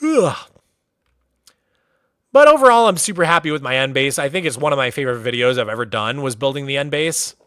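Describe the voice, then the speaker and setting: A man's voice: mid-range voice, a little fast, clear, resonant tone, no room sound, clean studio sound. A man from Massachusetts streaming casually, chatting loosely with lots of "like" fillers as he tours a game world. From Massachusetts, USA. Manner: relaxed and chatty, many "like" fillers. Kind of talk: casual livestream commentary, touring a game world.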